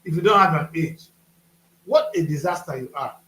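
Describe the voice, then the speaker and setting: A man in his fifties hosts a talk show, firing emphatic rhetorical questions. His voice sits high. The studio sound is roomy and echoey. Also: normal speed, fairly bright tone. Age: 50s.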